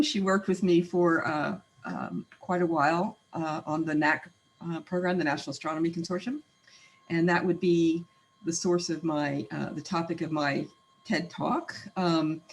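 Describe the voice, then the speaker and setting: A man's voice: fairly high pitch, rough recording. A man giving an informal self-introduction, hesitant and good-humoured. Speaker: meeting participant, diversity director.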